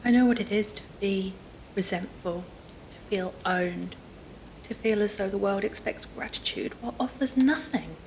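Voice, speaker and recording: A woman's voice: mid-range voice, moderate pace, darkish tone, a little hiss or hum, natural voice, low-quality recording. Woman playing a severe, intense, elegant Victorian woman. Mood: cold, intense. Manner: intense, coolly persuasive. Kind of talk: audio drama acting.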